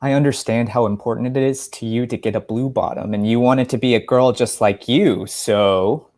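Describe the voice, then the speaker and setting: A man playing a gentle, earnest father who is a magic professor in a story reading, speaking in a measured, reassuring way. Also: medium pitch, steady tone, lightly acted. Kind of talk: story reading, dialogue part.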